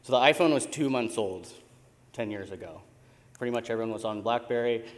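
A man's voice: medium pitch, fairly quick. An American man in his thirties giving a conference presentation in an informal, hesitant way, with frequent ums. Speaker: conference keynote speaker, tech company co-founder. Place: indoor conference stage in a large hall. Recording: reverberant hall sound from stage PA.

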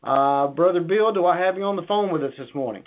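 Man, conference call host. Fairly high pitch, informal and halting business updates, lo-fi phone audio. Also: expressive. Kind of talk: business announcements. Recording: dull lo-fi conference phone line.

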